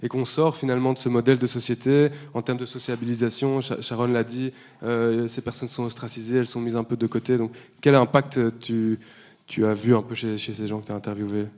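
A man's voice: mid-range voice, little pitch movement, fairly quick, darkish tone. A man moderating a panel discussion, restarting and rephrasing as he puts questions to guests. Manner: rephrasing while asking questions. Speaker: host, moderator.

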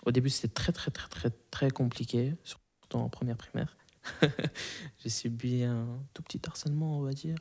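A young man in his early twenties who grew up in Belgium with Arabic as his first language. His voice is soft-edged and mellow. He talks casually as an interview guest, pausing to find his words. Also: mid-range voice, fairly even intonation, slightly rough. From moved to Belgium at five, grew up there. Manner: hesitant, searching for words. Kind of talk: casual, reflective interview answers.

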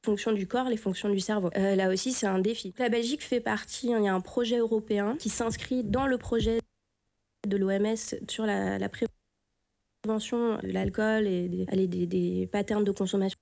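Creaky-voiced woman explaining conversationally. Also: medium pitch, steady tone, normal speed, a little mellow. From France. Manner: conversational, repeats and rephrases to stress points. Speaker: health practitioner being interviewed. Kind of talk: spontaneous interview explanation.

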